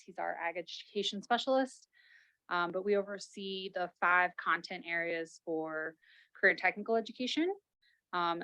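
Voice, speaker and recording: Woman introducing herself, bright voice, kinda low, quick with some ums. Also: low-quality recording. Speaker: education director. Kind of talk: self-introduction at meeting.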